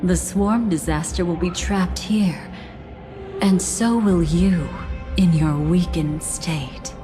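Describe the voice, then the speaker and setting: A woman playing an enigmatic, teasing figure in a video game, measured, languid and knowing. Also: on the low side. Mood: ominous, composed. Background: game music.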